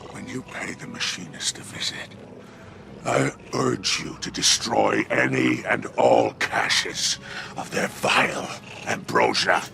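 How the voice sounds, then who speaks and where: An actor playing a sly, theatrical underworld crime boss in a video game, drawling ornate phrases. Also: male voice, fairly low pitch, big pitch swings, slow, creaky, background noise, full character voice. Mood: sly approval. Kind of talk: video game character acting.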